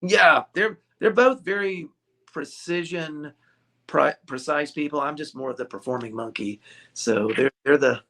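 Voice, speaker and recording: A man's voice: fairly high pitch, a little slow, vocal fry, fairly bright tone. A man, a ukulele shop owner being interviewed, chatting casually and joking with frequent laughter. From United States. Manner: jokey, laughs often. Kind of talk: casual chat.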